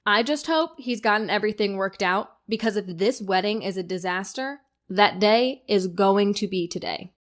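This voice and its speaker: Actress for stressed young bride; mid-range voice, wry banter, bossy affection, audio drama. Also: female voice, mid-range voice, moderate pace, hardly any pauses, lightly acted.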